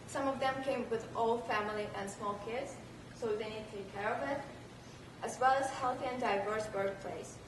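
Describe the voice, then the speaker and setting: A young woman from Russia with a Russian accent, giving a rehearsed student presentation. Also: fairly high pitch. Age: young adult. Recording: echoey noisy room, distant microphone.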